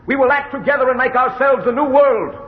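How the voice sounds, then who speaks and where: An actor playing a fervent, commanding leader of young rebels, solemn and declamatory in old radio drama style. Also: male voice, very high voice, a little fast, phone-quality sound. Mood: fervent, rousing. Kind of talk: 1940s radio drama acting.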